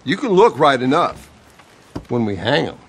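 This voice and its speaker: An actor playing a contemptuous small-town sheriff in a Wild West video game, curt and dismissive, with an American Western accent. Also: male voice, mid-range voice, sing-song, moderate pace, slight background noise. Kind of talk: video game cutscene acting.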